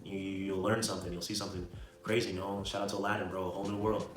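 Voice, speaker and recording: A man's voice: low-pitched, little pitch movement, rapid-fire. A young man giving casual motivational advice in an informal, slangy way, often checking in with a "right?". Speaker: video host giving self-improvement advice. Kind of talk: casual motivational talk to camera. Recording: roomy echo, distant mic, music behind.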